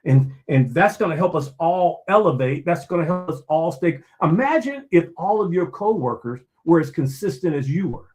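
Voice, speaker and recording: A man's voice: a little high, expressive, normal speed, few pauses, a little mellow. A man giving a motivational talk, preachy and emphatic, repeating key phrases and asking himself questions that he then answers. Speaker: motivational speaker.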